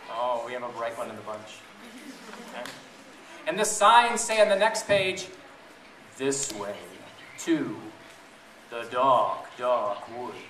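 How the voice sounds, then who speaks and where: A man reading a picture book aloud to a group of children in a playful, chatty way, pausing to ask them questions. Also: fairly high pitch. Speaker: storybook reader to children. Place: echoey room with an audience of families. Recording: roomy, distant event recording with audience noise.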